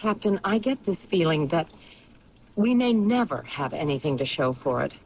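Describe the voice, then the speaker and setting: A woman playing a persistent police lieutenant in an old radio drama, questioning and reasoning deliberately. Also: sits low, expressive, vocal fry. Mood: pensive, reasoning. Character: sharp, persistent female police lieutenant investigating murders. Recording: muffled, noisy 1970s radio recording.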